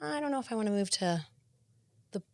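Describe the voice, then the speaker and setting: A woman's voice: mid-range voice, lively intonation, fairly quick, gravelly, a little mellow, no room sound. A woman in her forties who grew up in Argentina with Spanish as her first language, answering interview questions in a friendly, reflective way and often restarting her sentences. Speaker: podcast guest, HR executive.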